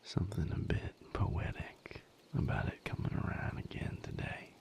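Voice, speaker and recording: A man playing a wry, tender vampire boyfriend in an intimate audio roleplay, with a breathy, gravelly voice and a folksy, unhurried, softly teasing way of talking. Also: in a whisper, lightly acted. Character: wry, tender male vampire boyfriend tending injured partner.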